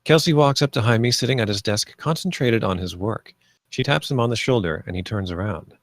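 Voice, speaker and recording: A man's voice: lowish voice, a little fast, no echo. A man reading stage directions aloud as scripted narration.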